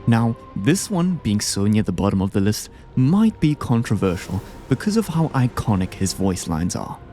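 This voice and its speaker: A man's voice: lowish voice, big pitch swings, moderate pace, mellow. A man hosting a video, giving casual, opinionated commentary in an informal, slangy way. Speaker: video host ranking game bosses. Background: background music.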